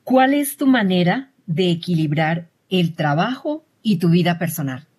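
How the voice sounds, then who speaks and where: A woman's voice: lowish voice, lively intonation, measured pace, darkish tone, slightly rough. A woman hosting an interview, asking polite, formal questions. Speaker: interview host.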